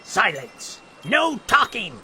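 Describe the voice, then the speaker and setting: Woman acting a pompous gingerbread army captain, swooping pitch. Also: big pitch swings, slow, sharp tone, a little hiss or hum, heavily acted. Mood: stern, commanding. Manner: pompous, barking orders. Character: militant angry gingerbread soldier captain. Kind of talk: sci-fi comedy radio drama acting.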